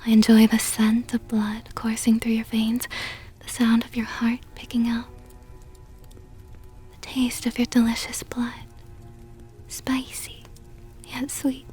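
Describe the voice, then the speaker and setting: A woman plays a seductive vampire countess in an ASMR roleplay, very breathy and whispery. She speaks slowly and softly, with a dark, velvety tone and a possessive, teasing tenderness, close to the mic. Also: fairly high pitch, completely flat delivery, faint music behind, full character voice. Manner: slow, teasing, condescendingly tender. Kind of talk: ASMR roleplay, intimate monologue. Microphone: close microphone.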